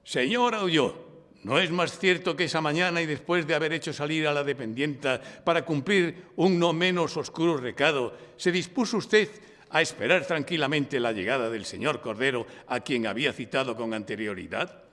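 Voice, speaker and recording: A man's voice: a little high, normal speed, fairly bright tone, natural voice. An actor with an accent from Spain playing an oratorical male defence lawyer who argues and narrates his case in a courtroom radio drama. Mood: suave, probing. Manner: oratorical, insistent, declamatory. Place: public library, before a live audience. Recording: reverberant hall, live stage recording.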